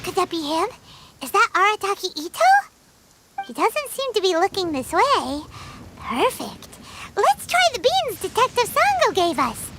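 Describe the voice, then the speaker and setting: A woman's voice: very high-pitched, big pitch swings, a little slow, very noisy, heavily acted. A woman voicing a tiny, perky, childlike fairy companion in a video game. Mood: excited. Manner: perky, speaks in third person. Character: tiny chirpy childlike floating fairy companion, talks about herself by name. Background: game music.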